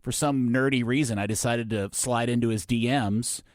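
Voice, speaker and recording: A man's voice: mid-range voice, steady tone, moderate pace, dry recording, clean studio sound. A man co-hosting a podcast, telling a story in a friendly, chatty way. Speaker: podcast host. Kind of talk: casual podcast chat.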